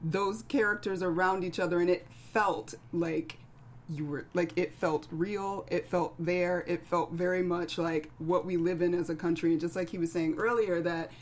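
A woman's voice: lowish voice, steady tone, normal speed. A woman from the American South talking casually in a panel discussion, reflective and often searching for her words. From American South (Atlanta). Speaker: actor at a script table read.

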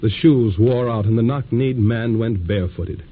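An actor playing a solemn, urgent storyteller, narrating an old radio drama in a dramatic scripted style. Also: male voice, mid-range voice, moderate pace, breathy edge, close to an everyday voice. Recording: tinny, noisy old radio recording.

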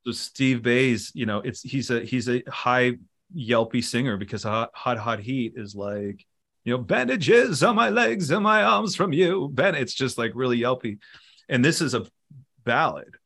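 A middle-aged man talking casually in an interview, relaxed and rambling, with many fillers and restarts. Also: mid-range voice, measured pace. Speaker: musician and producer guest.

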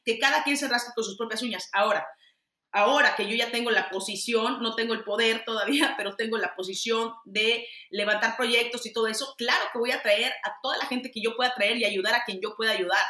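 A woman's voice: on the high side, brisk, pushed and bright, roomy sound. A middle-aged Mexican woman, an actress and producer, answering interview questions candidly and emphatically, with frequent restarts.

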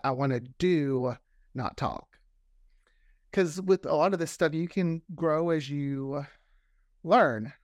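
A man, a podcast creator, chatting casually as a guest and telling stories in an animated way, acting out bits of dialogue. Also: fairly high pitch, no room sound, studio quality. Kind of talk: casual interview chat.

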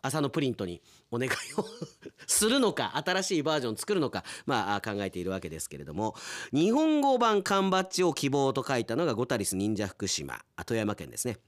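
A man working as a radio host, chatting casually and wryly as he reads out and comments on listener submissions. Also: mid-range voice. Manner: animated, chatty, wry asides and chuckles. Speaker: radio announcer hosting a radio drama segment.

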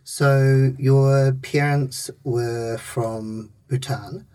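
A man asking measured questions as a podcast interviewer. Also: medium pitch, steady tone, darkish tone, distant mic.

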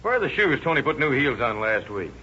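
An actor playing a tough, sardonic police detective in 1930s radio crime drama, blunt, slangy and commanding. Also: male voice, medium pitch, sing-song, brisk, pushed and bright. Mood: brisk, probing. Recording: muffled, noisy old radio recording.

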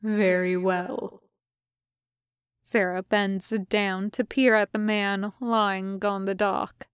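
A woman reading scripted fiction as narrator, with a measured, wry storytelling manner. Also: medium pitch, level tone, smooth, no echo, clean studio sound. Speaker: podfic reader.

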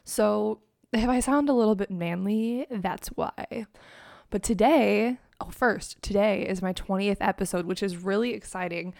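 A woman's voice: medium pitch, a little slow, vocal fry, darkish tone. Young woman, podcast host, sleepy morning voice, chatty and upbeat, lots of "like", home mic setup. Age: young adult. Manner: chatty, upbeat, heavy use of "like". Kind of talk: casual solo podcast talk. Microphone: own microphone and laptop.

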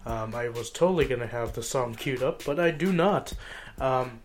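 A man's voice: mid-range voice, moderate pace. Easygoing man hosting a music podcast. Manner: easygoing, steers the show with "anyway, guys". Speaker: podcast co-host. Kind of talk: casual chat.

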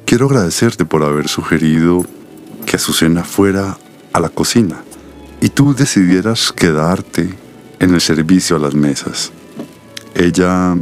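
A man's voice: deep voice, unhurried. A man playing a serene pediatrician in his fifties, a grateful father with a Colombian accent, in an audio drama. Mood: grateful, moved. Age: 50s. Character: serene middle-aged male pediatrician, grateful, protective father. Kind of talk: audiobook radio-drama acting. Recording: music behind the voice.

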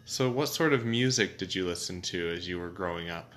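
A man's voice: low-pitched, expressive, brisk, fairly bright tone, slight background noise. A man interviewing someone with simple prepared questions.

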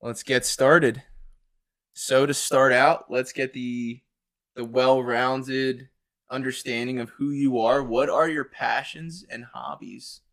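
A man's voice: medium pitch, fairly even intonation, takes it slowly, fairly bright tone. A man with an American accent hosting a podcast and interviewing in a casual, chatty way.

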